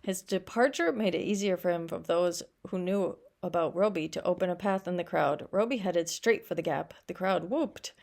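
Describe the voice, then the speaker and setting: A woman with a creaky, gravelly edge to her voice reads fiction aloud in the way of a teacher. She corrects her own slips and steps out of the narration to explain. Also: fairly low pitch, moderate pace, few pauses, darkish tone. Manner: stumbles over words and corrects herself. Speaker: teacher reading a short story aloud.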